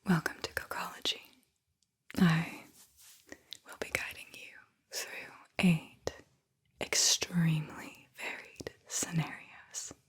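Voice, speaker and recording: A woman whispering a guided visualization in an ASMR style, with a breathy, airy voice and a soothing, deliberate delivery. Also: low-pitched, flat delivery. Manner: slow, soothing, deliberate delivery with repeated phrases. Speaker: host, guided visualization narrator.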